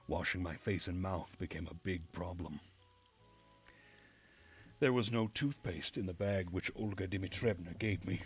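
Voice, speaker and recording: A man with a husky voice reading a visual novel aloud as narration while streaming the game. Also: deep voice.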